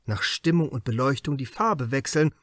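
A man's voice: medium pitch, moderate pace, a bit bright, dry recording, clean studio sound. A man reading a crime novel as a scripted literary audiobook narration.

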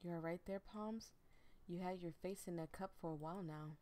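A woman's voice: fairly low pitch, normal speed, warm tone, close to an everyday voice. A woman voicing a teasing, affectionate, cocky young man in a visual novel game, with casual playful banter. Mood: warm, teasing.

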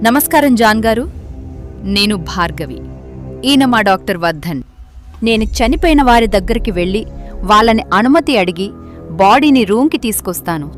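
A woman playing a greedy, scheming young daughter-in-law who talks persuasively in an animated moral story. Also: fairly high pitch, normal speed, long pauses, faint music behind, natural voice. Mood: businesslike. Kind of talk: acted dialogue in a Telugu moral story.

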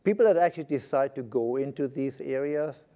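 A man's voice: mid-range voice, a little fast, warm tone. Older man on a conference panel. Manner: conversational, gestures a lot, tags points with "right?". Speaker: panelist, IT executive. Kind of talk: panel discussion. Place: conference stage. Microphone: lapel mic.

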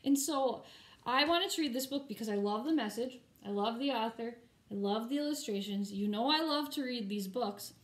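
A woman's voice: fairly high pitch, moderate pace. A woman teacher reading a picture book aloud expressively, with informal, chatty asides for children.

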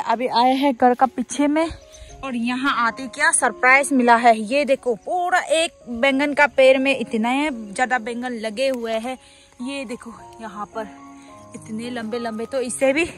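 A young woman with a slightly high voice chats as she shows off vegetables in a garden. She is recorded outdoors on a camera mic, with light background music under her talk. Her manner is easygoing and chatty. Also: measured pace. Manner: chatty, pointing things out while picking leaves. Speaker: vlogger. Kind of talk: casual vlog chat.

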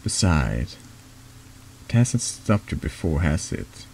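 A man's voice: rumbling low, big pitch swings, slow, a little mellow, exaggerated voice, rough recording. A man voicing a snide, needling man in a confessional for a horror text game. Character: taunting, insinuating man in a confessional. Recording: noticeable background noise.

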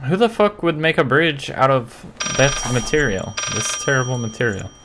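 Animated young man playing a game. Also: medium pitch, normal speed, noisy background. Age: 20s. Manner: jokey, sweary, excitable. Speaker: gaming video host. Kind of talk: casual let's play commentary. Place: small home room, indoors. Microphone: close desk microphone with pop filter. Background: game music.

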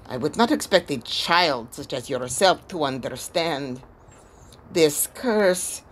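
A woman's voice: fairly low pitch, a little hiss or hum. A woman playing a weary old female spirit medium, solemn and foreboding, in a theatrical audio drama. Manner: solemn, dramatic, deliberate pronouncements.

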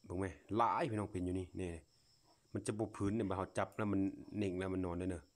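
Casting: male Lao vlogger, low-pitched voice, folksy and full of wonder, off-the-cuff outdoor phone commentary. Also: fairly even intonation, quick pace. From Laos. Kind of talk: casual unscripted commentary to viewers while filming. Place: outdoors, at a grassy patch.

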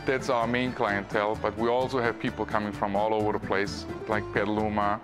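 A man in his thirties from Austria, with an Austrian German accent, talking calmly about his restaurant with small pauses. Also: lowish voice. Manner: calm, measured, pauses with "uh". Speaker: restaurant owner. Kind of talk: interview to camera. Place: empty restaurant dining room. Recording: music bed underneath.

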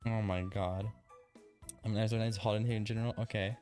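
A man's voice: on the low side, level tone, quick pace, a little mellow, faint music behind. A young man from the Czech Republic with a Czech accent, a streamer chatting casually, reading chat aloud and swearing now and then. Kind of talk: casual live-stream chat while gaming.

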